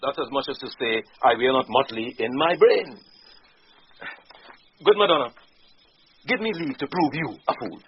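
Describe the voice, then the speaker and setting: A man's voice: mid-range voice, lively intonation, moderate pace, long pauses, slight background noise, natural voice. A man playing an impudent, witty court fool in a Shakespearean radio drama, teasing with playful riddles and mock logic. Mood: mock-serious teasing. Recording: dull 1990s radio recording, faint music.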